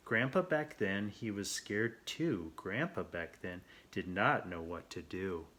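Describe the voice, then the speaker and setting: A man's voice: fairly low pitch, expressive, takes it slowly, a bit bright. Man playing a lilting storybook chick-pig mother. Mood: reassuring. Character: gentle chick-pig mother telling a bedtime tale.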